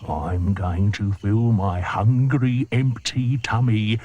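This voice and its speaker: A man voicing a greedy, scheming male crocodile in a storybook reading, slow and gloating, sometimes dropping to a whisper. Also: lowish voice, fairly even intonation, lo-fi sound. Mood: sly gloating. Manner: gloating, sinister.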